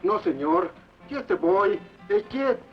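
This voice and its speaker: An actor playing a nervous Mexican gunman, pleading in a high, excitable voice, in 1940s radio acting. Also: a little slow, vocal fry, recorded from a distance, faint music behind, heavily acted. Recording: muffled old radio broadcast.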